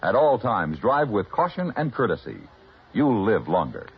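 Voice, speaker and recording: Vintage public service announcer: American man, low-leaning voice, heavy rasp, emphatic and formal, old-time radio delivery. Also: big pitch swings, normal speed. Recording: dull old radio recording.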